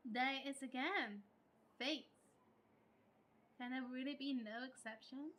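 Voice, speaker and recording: A woman's voice: sits high, measured pace, a bit bright. A woman streaming a video game live, reading dialogue aloud and reacting to it casually. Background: faint game music.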